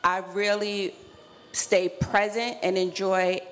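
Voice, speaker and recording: A woman's voice: mid-range voice, level tone, slow pace, a bit bright. A woman speaking as a panelist in an earnest, affirming, reflective way. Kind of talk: reflective panel talk on self-care. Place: live panel event in a room. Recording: reverberant room with clear background noise.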